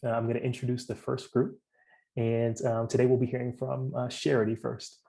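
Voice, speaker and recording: A man with a low, gravelly voice introduces a student showcase. His speech is flat and halting, full of ums and restarts. The tone stays dark and mellow throughout. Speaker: program staff introducing student presenters. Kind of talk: opening remarks at a demo event.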